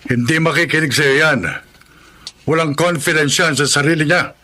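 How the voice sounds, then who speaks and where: A man's voice: fairly high pitch, normal speed, bright, forceful tone. An actor playing a scornful older father who belittles his son in an audio drama. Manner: belittling, contemptuous. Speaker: father celebrating his birthday.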